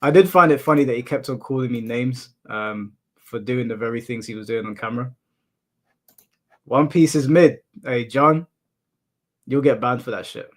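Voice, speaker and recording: A man from the UK with a monotone voice, casually chatting and answering his livestream chat, laughing off insults. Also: mid-range voice, normal speed, pauses often. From United Kingdom. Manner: relaxed, laughs off insults, addresses chat as bro. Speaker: streamer. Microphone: headphones in, desk mic.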